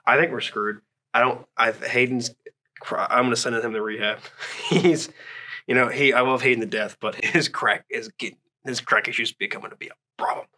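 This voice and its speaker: A young man talking in a loud, halting, deadpan way as a band member in a comedy sketch. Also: medium pitch. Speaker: band member in a mock interview.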